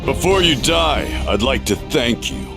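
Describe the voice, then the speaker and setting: An actor playing a gloating, sadistic demon villain in an animated game, with a taunting, menacing, drawn-out delivery. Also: male voice, medium pitch, gravelly. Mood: cruel, gloating. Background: music.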